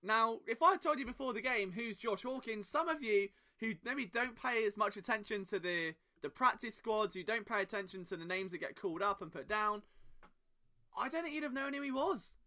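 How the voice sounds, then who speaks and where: Bright, high-voiced man, impassioned. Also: expressive, normal speed. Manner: impassioned, emphatic rhetorical questions. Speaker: podcast host. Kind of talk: solo sports podcast monologue.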